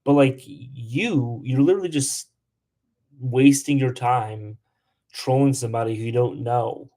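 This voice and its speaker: A man's voice: medium pitch, a little slow, dark tone. A young man hosting a casual livestream, answering comments with lots of "like" and blunt asides. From Polish. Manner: chatty, lots of "like", blunt toward trolls. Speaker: travel livestream host.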